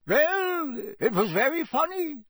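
A man's voice: extremely high pitch, sing-song, slow, fairly bright tone, no room sound, clean studio sound, pitched above the performer's own voice, full character voice. A man voicing a gloomy, wry foreign government minister in a storybook, with a shrugging manner. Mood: wry gloom.

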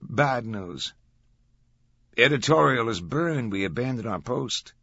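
A man's voice: medium pitch, lively intonation, takes it slowly, fairly bright tone, husky, dry recording, highs cut off, pitched above the performer's own voice, heavily acted. A man voicing a brash, cocky male news reporter with a sardonic, breezy manner in an audiobook thriller. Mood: grumbling.

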